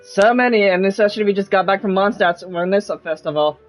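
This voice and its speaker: Woman, mid-pitched voice, casual game playthrough commentary, streamer, faint game music behind. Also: quick pace.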